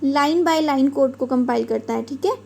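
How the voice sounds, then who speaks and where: A young woman explains computer software in a lecture, patiently and with everyday examples. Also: sits high, a little slow, vocal fry. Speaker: computer teacher.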